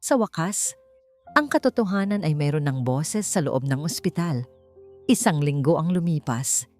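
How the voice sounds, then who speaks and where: A woman narrating a scripted dramatic story with deliberate phrasing, as an audiobook narrator. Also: low voice, lively intonation, a little mellow. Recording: music bed behind a dry voice. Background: background music.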